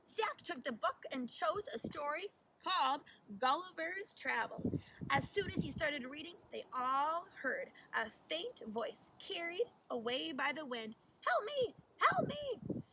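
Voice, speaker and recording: A woman reading a children's story aloud like a teacher, deliberate and animated, recorded at a distance with faint noise. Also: sits high. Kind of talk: expressive children's storybook narration.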